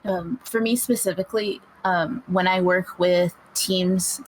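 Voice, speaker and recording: A young adult panelist giving plain, steady answers in a casual discussion. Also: medium pitch, measured pace, rough recording.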